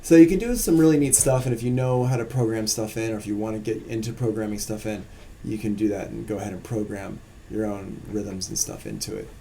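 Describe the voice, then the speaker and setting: A man teaching music production in a casual, relaxed live-streamed software tutorial, explaining as he works on screen. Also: on the low side, fairly quick, vocal fry, a little hiss or hum.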